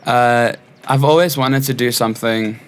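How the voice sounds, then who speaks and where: A South African man in his twenties with a mid-pitched voice shares his stories in an interview. He speaks informally, in a relaxed conversational style, as a stage crew technician would among friends. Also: moderate pace, a little hiss or hum. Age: 20s. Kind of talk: casual chat, personal anecdotes.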